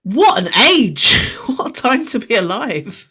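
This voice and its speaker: A woman's voice: a little high, sing-song, measured pace, sharp tone, breathy edge. A woman in her forties co-hosting a podcast, chatting casually, laughing often and swearing freely. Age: 40s. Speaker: podcast co-host. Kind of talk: casual chat.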